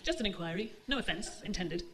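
A woman's voice: medium pitch, animated, a little fast, fairly bright tone, a little hiss or hum, close to an everyday voice, poor audio. Reader doing a smug posh father, polite but condescending. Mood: smooth. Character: smug English gentleman, coolly probing.

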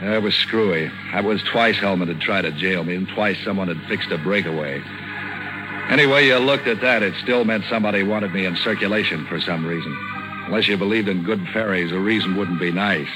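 Low-voiced, deadpan hard-boiled radio detective played by a man. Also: brisk, natural voice, low-quality recording. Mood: wry, guarded. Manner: deadpan, wisecracking. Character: hard-boiled, wry male detective narrating his case. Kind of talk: 1940s radio noir acting and first-person narration. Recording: old 1940s radio recording, music behind.

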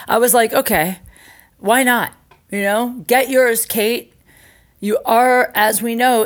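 A woman podcast host, likely in her thirties, talking in a candid, chatty, self-mocking way and peppering her speech with "like" and "um.". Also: mid-range voice. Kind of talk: casual storytelling monologue with ad reads.

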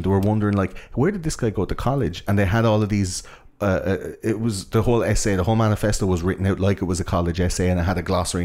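A man's voice: deep voice, a little mellow. A young man with an Irish accent, chatting casually and explaining things in an enthusiastic, rambling, sweary way.

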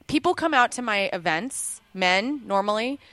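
A woman radio DJ with a St. Louis accent, telling stories in a quick, enthusiastic casual chat. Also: mid-range voice, lively intonation, a bit bright. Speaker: radio DJ, host.

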